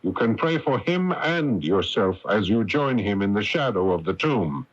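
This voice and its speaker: An actor playing an earnest young hero with dramatic intensity in an old radio drama. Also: male voice, lowish voice, sing-song, moderate pace. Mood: cold anger. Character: earnest young man, Egyptian royal heir and modern counterpart. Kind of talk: 1980s radio drama acting. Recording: dull lo-fi old radio broadcast.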